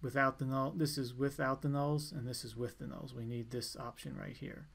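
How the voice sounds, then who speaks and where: A man giving an informal step-by-step software tutorial in a plain, instructional way. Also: medium pitch, level tone, moderate pace, creaky. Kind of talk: step-by-step screencast walkthrough, informal.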